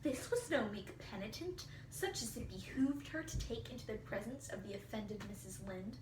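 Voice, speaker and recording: A woman giving an expressive dramatic reading of literary narration. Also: mid-range voice, moderate pace. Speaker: dramatic reader. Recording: roomy, distant mic, faint hiss.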